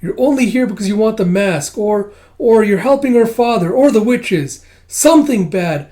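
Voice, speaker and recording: Small girl creature, played by a man: high voice, frightened, defiant, pleading storybook child. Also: expressive, moderate pace, warm tone. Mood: accusing.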